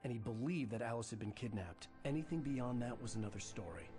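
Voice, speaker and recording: An actor playing a troubled novelist in his thirties who narrates in a low, clipped, brooding noir manner in a video game. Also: animated, quick pace, close to an everyday voice. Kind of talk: noir narration and cutscene dialogue. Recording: game audio with music under it.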